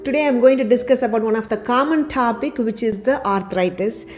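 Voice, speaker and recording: Woman doctor, slightly raspy from a cold. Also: fairly high pitch, a little fast. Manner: steady explanatory delivery, lists items one by one. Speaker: doctor giving health advice. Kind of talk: informal health talk to camera. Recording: faint background music.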